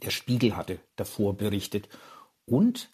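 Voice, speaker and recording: A man's voice: on the low side, wildly swooping pitch, unhurried, a little mellow, husky. A man, a media historian, explaining in an interview with filler phrases. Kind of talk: expert interview.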